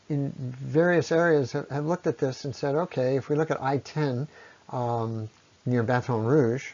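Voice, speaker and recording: A man giving an explanatory science lecture in a measured way, pausing often and letting his phrases trail off at the ends. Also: medium pitch, a little mellow. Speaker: presenter on ecology. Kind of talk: explanatory lecture on environmental impact. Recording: dull, lo-fi voice recording.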